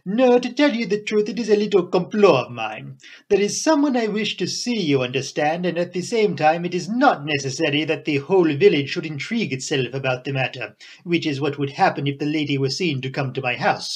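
A man's voice: fairly high pitch, lively intonation, moderate pace. A man voicing a fussy, genial foreign detective with florid, formal phrasing in an audiobook. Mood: genial, sly. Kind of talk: audiobook character voice.

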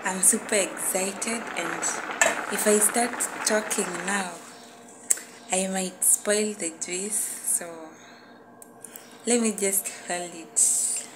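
Young woman, cooking vlogger. Unhurried pace, medium pitch, effusive and affectionate casual delivery, clear background noise. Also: steady tone. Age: young adult. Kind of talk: casual chat to viewers.

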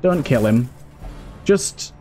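A man's voice: medium pitch, expressive, slow pace, dark tone, soft background music. A man giving casual let's play commentary, pleading with and exclaiming at the game characters as he talks. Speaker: gaming video host and player.

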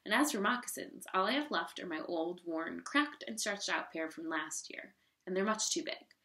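A woman voicing a poor, weary father in a folk tale, gentle and regretful. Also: fairly low pitch, lively intonation, normal speed. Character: poor, weary, apologetic father of three daughters.

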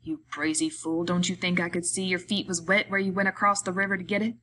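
A woman voicing a gruff, exasperated working man who gives brusque orders in rough rural slang, in an audiobook story. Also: fairly low pitch, little pitch movement, fairly quick, sharp tone, slight background noise. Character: gruff, bossy, exasperated working man minding his friend.

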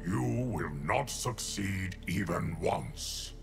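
An actor playing a stern, righteous warrior in a video game, speaking in a grave, formal, commanding manner. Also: male voice, low-pitched, lively intonation, slow pace, gravelly, faint music behind, heavily acted. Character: stern, stoic male warrior, cold and righteous. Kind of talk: video game fighter dialogue.

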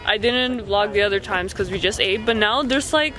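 A young woman vlogging casually on a handheld camera, giggly, excitable and teasing. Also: mid-range voice, normal speed. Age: young adult. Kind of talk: casual vlog chatter. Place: crowded outdoor night market and a car. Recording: noisy handheld camera audio. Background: loud crowd and music.

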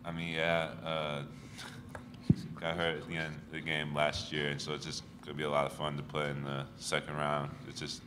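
A young college basketball player gives short, understated answers into a table mic. His voice is very deep and nearly monotone. Room noise stays steady under him. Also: moderate pace. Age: young adult. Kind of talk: press conference answers. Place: press conference room with backdrop.

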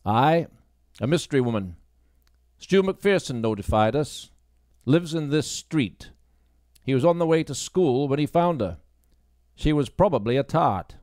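A male narrator plays a wartime policeman in an audiobook mystery. He is brusque, matter-of-fact and quick to dismiss, in a dry, close recording. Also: medium pitch, lively intonation, darkish tone, no echo, pitched above the performer's own voice, close to an everyday voice.

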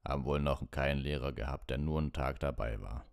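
A man's voice: very deep voice, a little fast, creaky, no echo, clean studio sound. A man voicing a huge, drunk, dejected groundskeeper in a storybook, with a thick, slurred voice. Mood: gloomy. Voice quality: thick, muffled voice.

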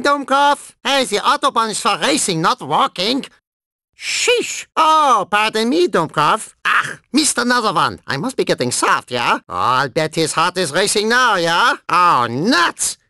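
Here is a crazed German cyborg from a cartoon racing game, with a thick, exaggerated German accent. The voice sits high and leaps about wildly, with a sharp, bright edge. It is theatrical and excitable, swinging between gleeful menace and whining. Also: male voice, creaky, dry recording, studio quality, full character voice. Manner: theatrical, excitable, tacking questioning tags onto remarks. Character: deranged German cyborg racer, gleefully violent and whiny. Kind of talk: cartoon video game character clips.

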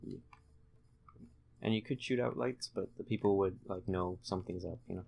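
A young man giving low-key, explanatory commentary while playing a video game at home. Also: moderate pace, creaky, breathy edge, highs cut off. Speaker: gaming channel host playing the game. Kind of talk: casual let's play commentary. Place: room at home.

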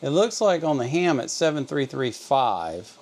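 A man giving casual, plain-spoken commentary as he hosts a hands-on demo, thinking out loud. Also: mid-range voice, very animated, measured pace. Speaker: host testing a radio receiver. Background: shortwave radio noise and stations.

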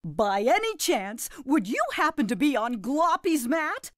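A woman playing a jolly, doting grandmother who hosts an animated children's game in a gushing, sing-song way. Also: high voice, a little slow, no room sound, clean studio sound, heavily acted. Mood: cheery, inviting.

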